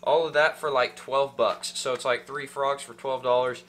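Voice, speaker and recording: A man's voice: mid-range voice, fairly even intonation, normal speed, a bit bright. A young man hosting a fishing channel, unboxing gear in casual chat with lots of ums and uhs, seated in a small room. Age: young adult. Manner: rambling, many ums and uhs, restarts.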